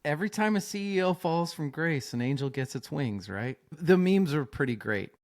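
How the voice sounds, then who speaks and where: A man hosting a podcast, chatting loosely and joking with his co-hosts. He talks in an animated way and swears freely. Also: a little high, moderate pace. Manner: animated, swears often, jokey. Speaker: podcast host. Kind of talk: casual chat.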